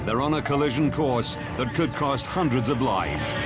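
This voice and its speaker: A British man narrates a documentary in a dramatic, scripted style. His pitch moves in animated swings, and very loud music plays behind him throughout. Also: moderate pace. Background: loud dramatic score.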